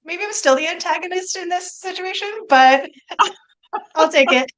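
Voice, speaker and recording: A woman's voice: very high voice, a little slow, a bit bright. A woman actor chatting casually in a giggly, talkative way on a video call. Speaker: stage actor.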